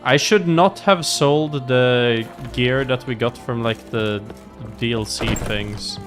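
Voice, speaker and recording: A man's voice: mid-range voice, slow. Male game streamer, mid register, leisurely pace, casual upbeat commentary, muttering thoughts aloud. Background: faint game music.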